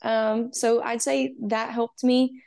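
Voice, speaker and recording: Young woman musician being interviewed, earnest and upbeat, kinda high voice, lots of um and you know. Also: level tone, slow, gravelly, mellow. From Texas. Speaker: musician guest. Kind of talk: casual interview.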